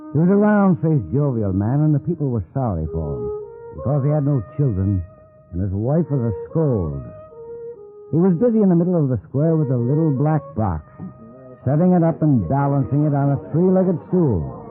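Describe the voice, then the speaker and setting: An actor as the storyteller of a radio drama, narrating a village tale in measured scripted phrases. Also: male voice, medium pitch, sing-song, close to an everyday voice. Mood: measured storytelling. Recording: muffled radio broadcast over music.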